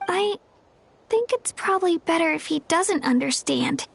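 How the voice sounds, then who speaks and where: A woman's voice: extremely high pitch, expressive, measured pace, creaky, heavily acted. A woman voicing a sweet, innocent young girl in a video game, earnest, polite and a little hesitant. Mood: earnest concern. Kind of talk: video game dialogue acting.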